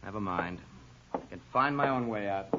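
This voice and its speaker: An actor playing a pushy, cocky private investigator in an old-time radio crime drama, with a brash, slangy way of talking. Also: male voice, fairly low pitch, normal speed, close to an everyday voice. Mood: disgruntled. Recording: muffled, noisy old radio recording.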